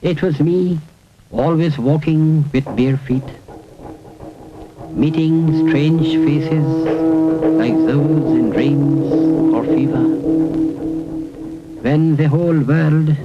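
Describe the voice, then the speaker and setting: A man recites poetry in a solemn, dramatic style, measured and with deliberate pauses between short phrases, on a 1970s film soundtrack. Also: on the high side, dull audio. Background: prominent music.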